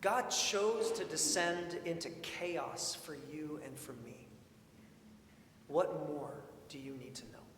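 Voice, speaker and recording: A man preaching a sermon in an informal, expressive way, with storytelling and questions repeated for emphasis. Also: a little high, slow pace. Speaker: preacher, priest. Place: large indoor church sanctuary. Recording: echoey church hall, long reverb, faint noise.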